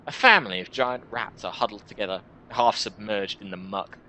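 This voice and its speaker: A man in his twenties reading a first-person story aloud in an expressive, deliberate, dramatic style. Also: fairly low pitch, a little hiss or hum. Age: 20s. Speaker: video creator reading a visual novel. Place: small room at home, indoors.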